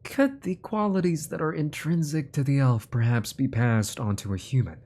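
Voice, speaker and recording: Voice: mid-range voice, expressive, normal speed, darkish tone, natural voice. An actor playing a menacing, centuries-old sorcerer-scientist in a fantasy audio drama, with a smug, theatrical delivery that slips into whispers. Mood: smug, grandiose.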